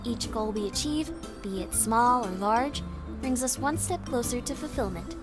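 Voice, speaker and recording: A woman's voice: on the high side, animated, moderate pace, over music, natural voice. An actress playing a self-assured, teasing young woman student in a visual novel game, with neat, composed, girlish delivery. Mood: earnest.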